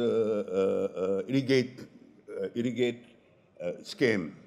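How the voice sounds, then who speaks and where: A man from Senegal, giving a conference presentation in a halting way and repeating words often. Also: fairly low pitch, a little mellow. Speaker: conference speaker. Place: large conference hall. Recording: long hall reverb.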